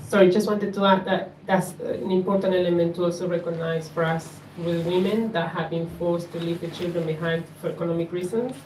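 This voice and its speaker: A woman from Bolivia speaking up from the audience at an open panel, in an earnest, measured, slightly halting way. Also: on the low side, steady tone, a little mellow, slight background noise. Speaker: audience member. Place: community event room. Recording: echoey room, distant mic.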